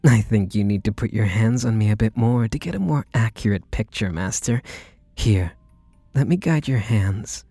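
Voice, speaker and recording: A man's voice: on the low side, moderate pace, vocal fry, mellow, no echo, faint music behind. A man voicing an obsessive, devoted young butler who speaks to the listener in an intimate, possessive and teasing way. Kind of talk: roleplay audio drama to listener.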